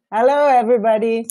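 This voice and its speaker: A woman's voice: fairly high pitch, warm tone, no room sound, rough recording. A woman from Italy with an Italian accent, informally introducing herself on an online call, searching for words. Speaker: web and graphic designer on a nonprofit team.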